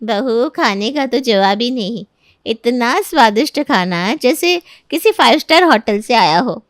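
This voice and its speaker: Woman voicing an effusive, high-voiced older aunt. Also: animated, unhurried, no echo, clean studio sound. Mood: impressed. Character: approving older visiting aunt of the family. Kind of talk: dramatized storybook dialogue.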